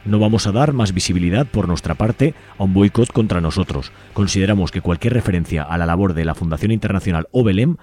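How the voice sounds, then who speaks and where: Male radio host, Spain accent, low-pitched voice, informal conversational interviewing with frequent tag questions. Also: quick pace, dark tone, a little hiss or hum.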